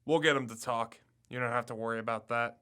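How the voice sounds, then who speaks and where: A man's voice: mid-range voice, steady tone, quick pace, sharp tone, smooth, natural voice. A young man playing an eager, confident college student turned amateur detective in an audio drama. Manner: confident, insistent.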